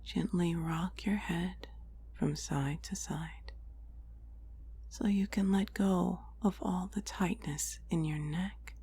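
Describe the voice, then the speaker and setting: A woman whispering a guided meditation in a breathy, soothing, deliberate voice with long pauses between phrases. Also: on the low side, steady tone, warm tone, a little hiss or hum. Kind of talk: whispered guided relaxation, ASMR-like scripted narration.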